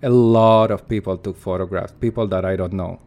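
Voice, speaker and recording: A man's voice: sits low, fairly even intonation, brisk, a little mellow. A man, a performance artist, giving earnest and reflective interview answers while searching for his words.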